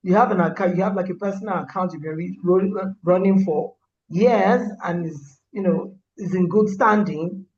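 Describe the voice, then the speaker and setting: A man's voice: high-pitched, a little mellow. A man asking polite questions on a webinar call, stumbling and correcting himself as he speaks. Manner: polite, halting with self-corrections. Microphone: video call. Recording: dull call audio with faint noise.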